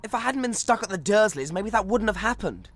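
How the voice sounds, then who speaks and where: A man's voice: sits high, expressive, fairly quick, vocal fry, a bit bright, exaggerated voice. An actor playing a sullen, sarcastic teenage wizard boy who grumbles in a video game. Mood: resentful, sarcastic. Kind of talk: scripted video game cutscene acting.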